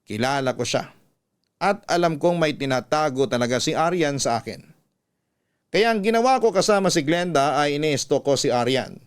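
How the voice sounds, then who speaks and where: A man with a Filipino accent reading a listener's letter as a scripted, measured and earnest radio story. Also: on the high side.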